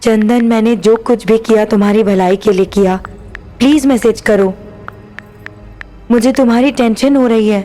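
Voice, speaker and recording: A woman's voice: fairly high pitch, steady tone, normal speed, pauses often, mellow, faint music behind, natural voice. A woman playing an anxious young wife who talks to herself in worried, pleading tones. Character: young married woman, anxious about her lover.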